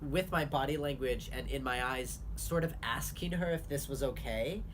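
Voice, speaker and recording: A young man hosting his vlog, talking candidly in a casual chat with quick asides. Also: mid-range voice, background noise. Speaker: vlogger host.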